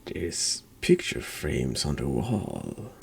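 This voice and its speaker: Very deep, creaky, slow-spoken man. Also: wildly swooping pitch. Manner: hushed, slow, often slipping into whisper. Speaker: horror game streamer, narrator. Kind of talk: ASMR horror game read-aloud.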